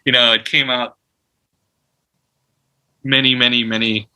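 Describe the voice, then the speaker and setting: A man's voice: mid-range voice, little pitch movement, unhurried, bright, forceful tone, clear, resonant tone, low-quality recording. A man guest on a podcast, telling stories in a rambling way with many restarts and fillers. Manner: laughs while telling stories. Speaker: musician guest. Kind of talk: casual podcast conversation.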